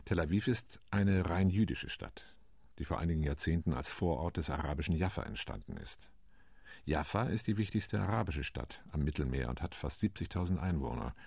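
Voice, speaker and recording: An actor playing a calm, tactical delegate on a partition committee, speaking in a radio play monologue. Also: male voice, extremely low pitch, creaky, husky, no room sound, full character voice.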